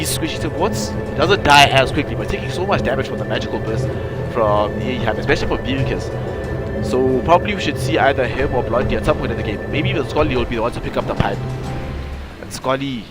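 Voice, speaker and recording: A man calls a live esports match in a middle-pitched voice gone stuffy and nasal from a cold. His analysis rambles and corrects itself as he goes. Loud music plays behind him. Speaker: game caster. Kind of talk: live esports play-by-play commentary.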